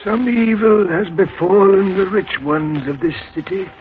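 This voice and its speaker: A man's voice: high voice, unhurried. A man playing a solemn male beggar who laments the city's troubles at length, in 1930s radio drama acting. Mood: lamenting. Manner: mournful, elaborate lamenting. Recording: tinny 1930s radio with faint hiss.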